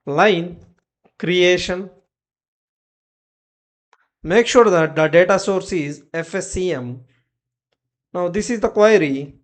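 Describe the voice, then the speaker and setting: A tutorial presenter narrating step-by-step software instructions in short, clipped commands. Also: mid-range voice, slow, long pauses.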